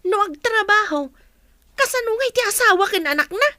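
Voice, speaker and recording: A woman with an Ilocano accent playing an excitable, inquisitive village woman in a radio drama. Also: extremely high pitch, a little fast, no echo, studio quality, exaggerated voice. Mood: surprised, inquisitive.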